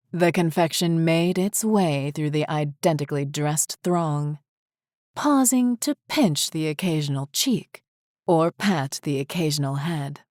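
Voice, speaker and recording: A woman's voice: sits low, darkish tone, no room sound, clean studio sound. A woman reading a scripted horror story as its narrator, with music behind her. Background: faint music.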